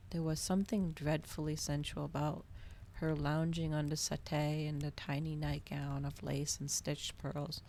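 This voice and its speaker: A woman reading a novel aloud in a soft, cozy ASMR style, with slow, dramatic literary phrasing. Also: low-pitched, gravelly, a little hiss or hum. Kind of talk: cozy ASMR storybook narration.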